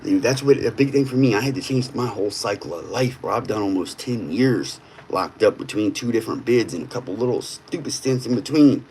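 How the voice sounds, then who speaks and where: A man's voice: lowish voice, lively intonation, a little fast, few pauses, a little mellow, a little hiss or hum, low-quality recording. Blunt streetwise man in his thirties. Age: 30s. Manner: blunt, streetwise, peppered with "bro". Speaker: livestreamer. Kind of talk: casual livestream chat.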